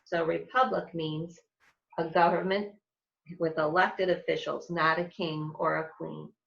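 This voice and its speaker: A woman with an American accent reads a children's picture book aloud as a teacher, expressively and deliberately, explaining as she goes. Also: deep voice, steady tone, gravelly, a bit bright, reverberant, highs cut off. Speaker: teacher reading aloud to second graders.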